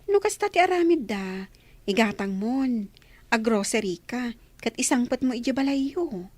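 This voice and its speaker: Animated high-voiced woman friend an actress, Ilocano accent. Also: measured pace, dry recording. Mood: concerned, advising. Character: concerned, advice-giving female friend. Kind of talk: radio comedy-drama acting.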